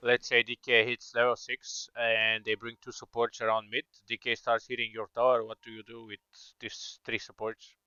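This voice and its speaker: A man's voice: medium pitch, completely flat delivery, normal speed, hardly any pauses, bright, forceful tone, clear, resonant tone, dry recording. A man with a Bulgarian accent co-casting an esports match, analytical and hesitant with many fillers. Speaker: esports co-caster. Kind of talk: live game commentary. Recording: slightly dull, lo-fi mic.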